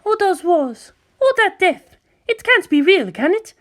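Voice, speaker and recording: A woman's voice: very high-pitched, very animated, a little slow, fairly bright tone, pitched above the performer's own voice, exaggerated voice. A woman voicing an innocent, earnest young girl in a storybook reading. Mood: troubled wonder.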